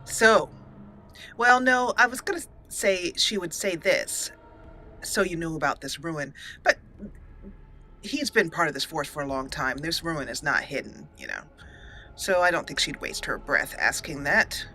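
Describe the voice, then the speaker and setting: A woman's voice: sits low, lively intonation, a little slow, sharp tone. A woman hosting a let's play, commenting casually and thinking aloud as she plays. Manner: chatty, thinking aloud with fillers and laughs.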